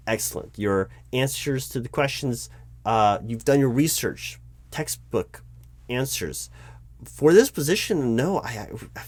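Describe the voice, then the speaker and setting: A man playing a nervous, baffled corporate recruiting manager in an audio roleplay, polite and earnest with halting, stammering speech. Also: mid-range voice, no room sound. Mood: polite, hesitant. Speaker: roleplay performer. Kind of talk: one-sided audio roleplay of a job interview.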